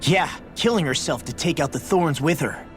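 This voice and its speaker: A man's voice: on the high side, lively intonation, moderate pace, soft background music, natural voice. An actor playing a blunt, youthful male companion in a video game. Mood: concerned. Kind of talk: scripted video game voice acting.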